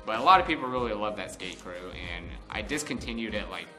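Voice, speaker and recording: A man's voice: on the low side, lively intonation, fast, pushed and bright, faint music behind, rough recording. An American man in his twenties telling a casual, unscripted story in a rambling, conversational way. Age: 20s. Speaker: vlog host.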